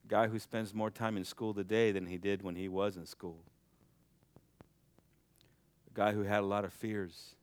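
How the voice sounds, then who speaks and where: A young male preacher with a smooth, resonant voice, recorded close and dry. He climbs to soaring, almost shouted peaks of exhortation, then drops to a low, hushed, intimate tone to drive his point home. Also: no echo. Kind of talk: sermon.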